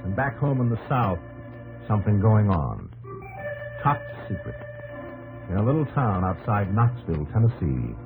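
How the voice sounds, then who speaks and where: A man playing a solemn, stirring narrator of American history in a 1950s radio documentary, speaking poetically with dramatic pauses. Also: on the low side, low-quality recording. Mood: storytelling. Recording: 1950s radio broadcast with loud organ music. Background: dramatic organ music.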